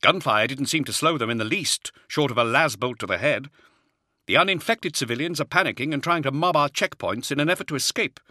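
A man voicing a wiry male army colonel who reports in a loud, strained voice. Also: medium pitch, brisk, dry recording, studio quality, pitched above the performer's own voice. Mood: urgent. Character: urgent male soldier colonel, wiry, shouting.

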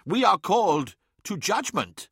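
A man's voice: sits high, very animated, unhurried, fairly bright tone, husky, no room sound, clean studio sound, voice pushed up, exaggerated voice. A man voicing a loyal, anxious lieutenant in an audiobook, earnest and deferential to his lord. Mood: anxious insistence. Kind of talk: dramatic audiobook character acting.

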